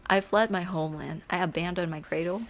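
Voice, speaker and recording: A woman's voice: lowish voice, steady tone, brisk, lightly acted. A woman voicing a haunted, grim swordfighter in a game story dub, speaking with grave, restrained intensity. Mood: brooding, resolute. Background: music.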